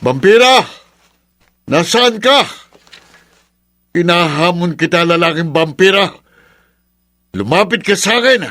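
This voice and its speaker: A man's voice: high-pitched, animated, takes it slowly, pauses often, a bit bright, dry recording, heavily acted. A man playing a bold, defiant village captain who hunts vampires, acting dramatically in a horror radio drama. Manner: dramatic, challenging.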